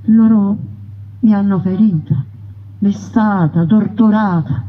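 A woman voicing a proud, defiant young woman bandit in a slow, solemn theatrical monologue. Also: mid-range voice, steady tone, slight background noise, close to an everyday voice. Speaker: narrating voice in a stage piece. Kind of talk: theatrical first-person monologue. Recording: dull radio broadcast sound.

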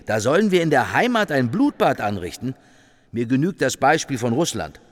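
An actor in a radio play voicing a cautious but insistent government leader, formal and emphatic. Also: male voice, mid-range voice, big pitch swings, normal speed. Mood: alarmed, arguing. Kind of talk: German radio play acting. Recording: reverberant room.

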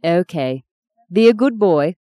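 A woman reading a story, voicing a sharp-tongued, defiant young woman who is a budding actress, in a cutting, exasperated manner. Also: fairly low pitch, lively intonation, a little slow, dry recording, clean studio sound. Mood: affectionate.

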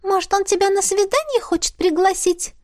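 A woman's voice: very high voice, brisk, pitched above the performer's own voice. A woman voicing a small, bossy female spirit who talks in a businesslike, indignant way. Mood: alarmed. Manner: businesslike, indignant, hissing when alarmed.

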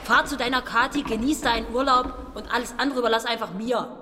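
An actress playing a sharp, defiant young female police detective in a German radio drama. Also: fairly high pitch, fairly even intonation, fast, faint music behind. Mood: defiant, sarcastic. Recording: long reverb, faint noise.

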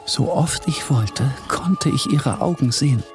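A man playing the tormented inner voice of an obsessed painter, musing intensely in a dramatic monologue that often turns hushed. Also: on the high side, wildly swooping pitch, measured pace, over music, exaggerated voice. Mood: obsessed, questioning.

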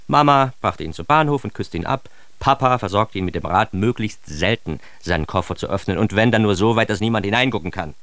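Wry, neurotic radio-drama narrator: standard German, lowish, very animated, dry close sound. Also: male voice, no room sound. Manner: wry, exasperated, theatrical. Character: self-mocking, neurotic man recounting his own childhood.

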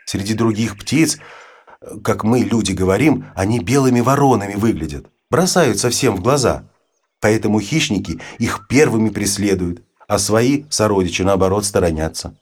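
A man with a husky voice reads an educational narration for children in an expressive, storytelling manner. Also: fairly low pitch, normal speed. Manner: storytelling, poses questions to the listener. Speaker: audiobook narrator.

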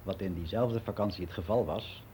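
Actor as mellow older man reminiscing, faint hiss. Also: low voice, fairly quick, close to an everyday voice. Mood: candid, reminiscing. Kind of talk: radio play monologue as a personal testimony.

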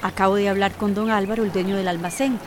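A woman's voice: mid-range voice, steady tone, fast, darkish tone, slight background noise, lightly acted. An actress playing a dutiful female municipal aide with a Costa Rican accent, reporting to the mayor in a radio drama.

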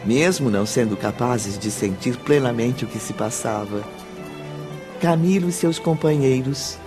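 Slow-paced male narrator over music. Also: a little high, darkish tone. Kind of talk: scripted radio drama narration, literary.

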